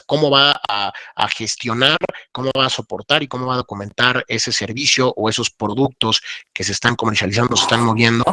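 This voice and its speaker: A man's voice: mid-range voice, bright, forceful tone, no echo. A Mexican man speaking off the cuff as an expert panelist in a webinar, explaining things and posing rhetorical questions. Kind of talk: unscripted webinar discussion.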